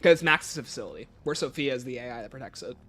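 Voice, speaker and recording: A man's voice: mid-range voice, lively intonation, fast, pushed and bright, faint music behind. A man hosting a casual livestream, chatting informally and playfully as he answers viewer questions. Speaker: livestream host.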